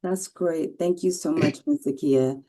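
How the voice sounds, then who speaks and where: A woman's voice: low-pitched, a little slow, warm tone. A woman moderating an online panel, thanking and praising each speaker in an encouraging way. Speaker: webinar moderator.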